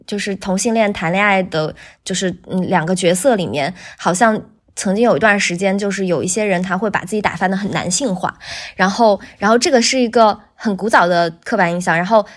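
A woman, a guest voice actor, chatting casually on a podcast and thinking aloud in halting, looping phrases. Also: medium pitch.

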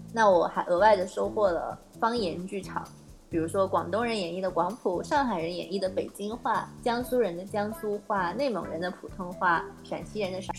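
A woman hosting a podcast, speaking in a conversational, chatty and earnest way as she introduces an episode. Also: mid-range voice. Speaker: podcast host.

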